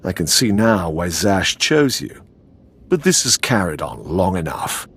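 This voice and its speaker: An actor playing a cold, haughty older Sith lord in a video game, formal and condescending. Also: male voice, low voice, sing-song, unhurried, vocal fry, a bit bright, breathy edge, heavily acted. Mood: cold, regretful. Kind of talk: dramatic video game acting.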